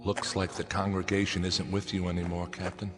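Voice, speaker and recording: Actor as blunt colonist in echoing space. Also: male voice, low-pitched, flat delivery, fairly quick, music in the background, natural voice. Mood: defiant. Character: defiant, plain-spoken male colonist. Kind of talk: radio drama acting.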